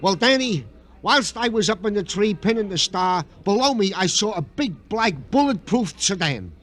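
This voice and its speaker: An earnest, simple-hearted police sergeant in a 1950s radio drama. His voice sits high and swings up and down, stammering and pleading with naive formality. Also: expressive, moderate pace, fairly bright tone. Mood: earnest, upset.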